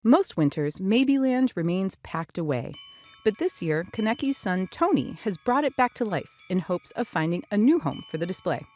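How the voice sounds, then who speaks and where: A woman's voice: mid-range voice, sing-song, moderate pace, a little mellow, faint music behind. A woman news reporter reading a scripted feature narration.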